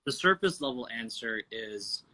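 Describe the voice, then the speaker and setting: A man chatting on a video call from his phone as a podcast guest, relaxed, swearing often, calling the host "bro". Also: medium pitch, moderate pace, rough recording. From United States. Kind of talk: casual interview chat. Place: home over video call.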